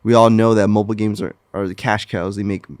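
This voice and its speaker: A man's voice: lowish voice, level tone, fast talker, a little mellow, clean tone, dry recording. A man co-hosting a gaming podcast in a casual, slightly hesitant conversational way. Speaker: podcast co-host.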